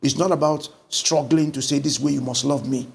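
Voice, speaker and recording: A middle-aged Nigerian man, a pastor talking through a book in an animated conversational way, recorded on a lapel mic in an indoor studio. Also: medium pitch, brisk, vocal fry. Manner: animated storytelling with lively gestures. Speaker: pastor and guest.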